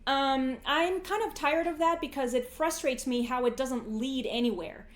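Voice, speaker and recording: A woman's voice: high voice, normal speed. A woman in her forties hosting a podcast, talking casually and philosophically, often rephrasing mid-thought in a rambling way. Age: 40s. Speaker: podcast host. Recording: roomy, echoey sound.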